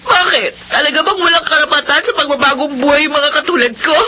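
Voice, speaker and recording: A woman's voice: sits high, fast talker, pushed and bright, a little hiss or hum. An actress in a Filipino radio drama playing an earnest, emotional young woman who sells food and stands up for herself. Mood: tearful, anguished.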